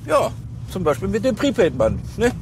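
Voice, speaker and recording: Animated, rambling policeman in car noise. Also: high-pitched, normal speed, exaggerated voice. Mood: musing. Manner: rambling, seeks agreement. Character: pedantic, touchy middle-aged policeman thinking aloud. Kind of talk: radio play acting. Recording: clear car noise behind.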